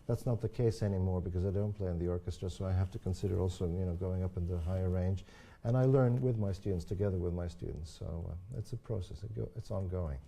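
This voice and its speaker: A deep, gravelly older man whose first language is Croatian speaks in a dark, mellow tone. He talks thoughtfully in a live interview, restarting phrases and filling pauses with soft yeahs. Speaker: horn player and teacher.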